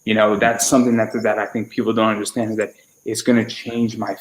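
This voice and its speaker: A man's voice: on the low side, little pitch movement, quick pace, a little hiss or hum. A man in his twenties talking casually as a podcaster, often stammering and repeating words as he starts sentences. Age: 20s. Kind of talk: casual conversational interview. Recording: roomy, echoey sound.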